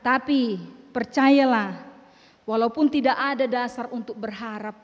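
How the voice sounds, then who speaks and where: A woman preaches in a high, bright voice, pressing her points with questions to the congregation. The sound rings with the long reverb of a church hall. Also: measured pace, highs cut off. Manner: emphatic, rhetorical questions to congregation. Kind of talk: sermon.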